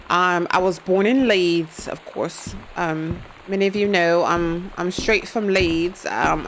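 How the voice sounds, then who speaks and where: Expressive woman streamer. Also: lowish voice, a little hiss or hum, poor audio. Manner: expressive, swears, reacts loudly. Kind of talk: live gameplay commentary.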